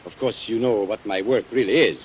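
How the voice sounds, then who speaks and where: An actor playing a polite, shrewd, coolly mocking blackmailer in old-time radio suspense style, speaking formally and deliberately. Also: male voice, fairly low pitch, highs cut off, natural voice. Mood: coolly menacing. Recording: vintage radio recording with hiss. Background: rain sounds.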